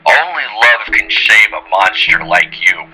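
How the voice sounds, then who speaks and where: A man's voice: lowish voice, very animated, a little slow, bright, forceful tone, soft background music, full character voice, rough recording. An actor playing a cynical, foul-mouthed male operative in an audio drama, with a dry, sardonic manner. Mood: dry, businesslike. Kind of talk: scripted audio drama dialogue.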